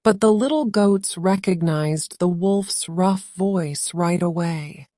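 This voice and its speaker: Slow, mellow woman storyteller. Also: on the low side, no room sound, studio quality. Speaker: narrator. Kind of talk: scripted story narration for English learners.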